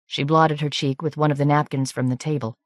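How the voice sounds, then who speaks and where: A woman narrating an audiobook in a measured, even reading voice. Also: low voice, no echo, clean studio sound. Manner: measured, even reading with light character shading. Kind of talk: scripted narration.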